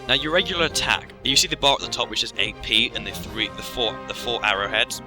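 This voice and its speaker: A man's voice: mid-range voice, lively intonation, quick pace. A man giving a casual, rambling gameplay explanation as he plays. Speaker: game commentator. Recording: game music behind voice. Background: video game music.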